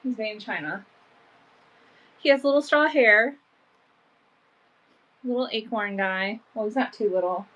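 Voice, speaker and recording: A woman's voice: a little high, a little slow, long pauses, a bit bright, muffled recording. A woman with a sniffly, allergy-stuffed voice hosting a casual live sale from home, chatting along with lots of "um" and "like.". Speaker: online vintage seller.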